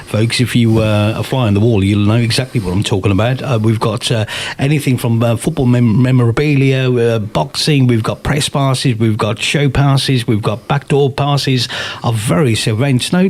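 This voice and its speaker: A man hosting a business radio interview, reading a formal, flattering introduction and then asking questions with some halting ums and uhs. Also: mid-range voice.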